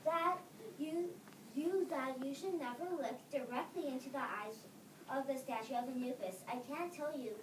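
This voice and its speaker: A young woman playing a tour guide giving a warning in readers theater, halting and repeating phrases. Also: high-pitched, close to an everyday voice. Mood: cautionary. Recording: distant echoey room, clear background noise.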